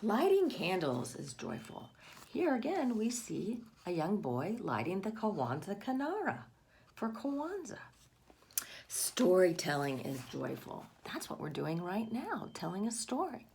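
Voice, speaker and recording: A woman's voice: mid-range voice, huge pitch swings, a little slow, creaky, a little mellow, a little hiss or hum. A woman reading a picture book aloud to children, expressively, with asides and questions addressed to the young listeners. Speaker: read-aloud storyteller for children.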